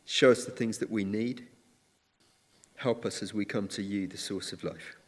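A man preaching a sermon in a church, measured and earnest, with occasional restarts. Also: on the low side, steady tone, a little hiss or hum. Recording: church hall reverb.

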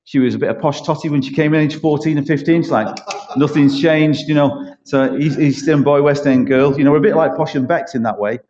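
A middle-aged man with a Manchester accent preaches a sermon, chatty and self-deprecating, tossing in jokes and rhetorical questions. His voice is dark and mellow. The recording is dull and lo-fi. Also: fairly high pitch, fairly quick. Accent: Mancunian. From East Manchester, England. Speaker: church leader preaching as a guest.

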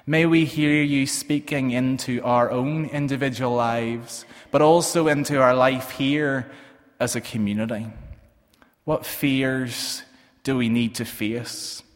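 Slow, gentle man in a reverberant hall. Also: mid-range voice, fairly even intonation. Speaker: worship leader. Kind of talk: leading prayer and reading poems aloud. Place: church hall with congregation.

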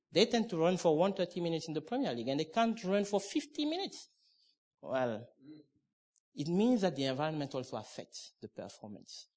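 A man's voice: high voice, a little mellow. A middle-aged man from Cameroon preaching a sermon, emphatic and conversational, with restarts and asides.

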